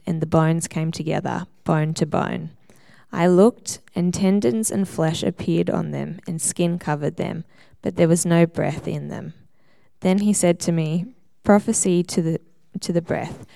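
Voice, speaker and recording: A woman reading a scripture passage aloud to a group in a steady, measured way. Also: sits low, gravelly, no room sound. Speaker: scripture reader.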